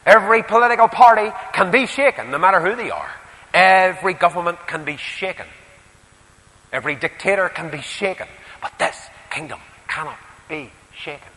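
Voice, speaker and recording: A male preacher with a Northern Irish accent delivers a sermon in a big reverberant hall. His voice is bright and forceful, ranging widely from emphatic peaks down to quieter asides. He often turns to rhetorical questions. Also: high-pitched, animated, normal speed. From Northern Ireland. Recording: echoey hall with long reverb.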